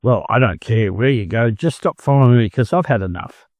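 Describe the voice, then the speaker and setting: A man's voice: medium pitch, animated, brisk, darkish tone, no room sound, natural voice. Boastful Australian chicken, voiced by a man. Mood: angry, snappish. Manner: bragging and curt. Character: boastful, snappish young male farm chicken.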